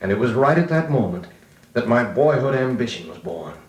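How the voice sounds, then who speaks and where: A man's voice: mid-range voice, expressive, fairly quick, background noise, lightly acted. A man playing a grown-up recalling his farm boyhood, narrating in a folksy, nostalgic American voice like an old radio drama. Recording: echoey vintage record with music.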